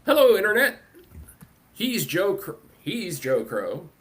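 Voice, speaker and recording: A man's voice: high voice, huge pitch swings, takes it slowly, a bit bright. A man hosting a panel as track director, introducing guests in casual, chatty talk.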